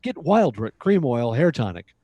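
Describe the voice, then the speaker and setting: A man's voice: medium pitch, sing-song, moderate pace, mellow, highs cut off, slight background noise. A man announcing in old-time radio style, delivering scripted show introductions and sponsor reads.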